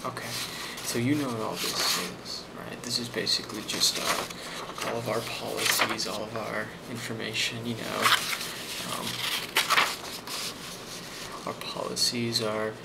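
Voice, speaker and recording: A man playing a friendly, rambling office boss in an ASMR role play, speaking softly and hesitantly with a reassuring manner and a creaky voice. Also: lowish voice, flat delivery, unhurried. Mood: calm, reassuring. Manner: soft-spoken, hesitant, reassuring. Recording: loud constant background noise.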